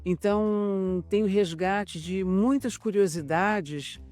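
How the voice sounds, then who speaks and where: A woman's voice: mid-range voice, slow pace, a little mellow. Brazilian woman explaining over faint music. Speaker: radio drama writer. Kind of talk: interview explanation.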